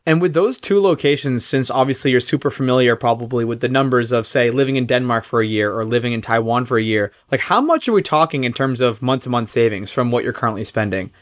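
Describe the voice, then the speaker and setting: A man's voice: mid-range voice, animated, quick pace, hardly any pauses. A man co-hosting a podcast, asking questions in a friendly, upbeat way. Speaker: podcast co-host. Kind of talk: casual interview questions.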